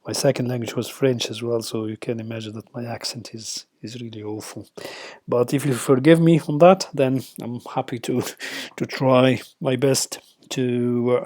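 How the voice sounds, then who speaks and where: A man's voice: mid-range voice, a little mellow. A middle-aged man whose first language is Arabic, giving an informal teaching lecture with many fillers and restarts. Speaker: radiation oncology lecturer.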